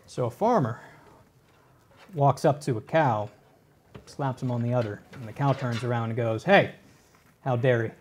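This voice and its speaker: A man's voice: medium pitch, normal speed, long pauses, a little hiss or hum. A man co-hosting a computer-building stream, explaining parts patiently and telling corny dad jokes. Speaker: tech co-host. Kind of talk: casual live-stream explanation.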